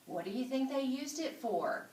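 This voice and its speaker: A woman's voice: high voice, lively intonation, moderate pace, darkish tone, slight background noise. Woman reading a storybook aloud, echoey room. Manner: informal, chatty asides. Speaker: school principal reading aloud to children. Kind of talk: storybook read-aloud with asides to listeners. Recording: roomy echo, distant microphone.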